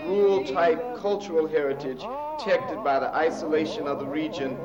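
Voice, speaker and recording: A man giving measured, explanatory historical commentary. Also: fairly high pitch, animated, music in the background. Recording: echoey, dull lo-fi.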